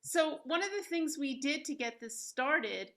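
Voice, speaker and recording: A woman with a bright, somewhat high voice hosts a live event over a video call. She is eager and keeps pushing the festival. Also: lively intonation, unhurried. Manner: enthusiastic, promotional. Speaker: host and founder of a theater.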